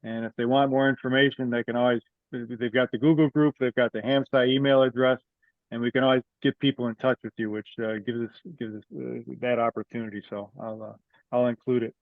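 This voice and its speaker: A man's voice: mid-range voice, level tone, a little fast, no room sound. A man leading an informal conference call meeting, speaking conversationally. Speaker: meeting leader. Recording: dry, slightly dull call audio.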